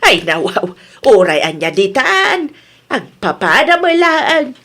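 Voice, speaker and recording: A woman's voice: sits high, very animated, takes it slowly, a bit bright, exaggerated voice. A woman playing a chatty village neighbour in an Ilocano comedy radio drama, with an Ilocano Filipino accent.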